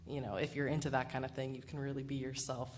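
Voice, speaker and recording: Casting: woman, radio panelist, low-pitched voice, casual conversational delivery, faint noise and ambience behind her. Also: flat delivery, quick pace, a little mellow. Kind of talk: casual panel discussion talk. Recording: noisy room ambience.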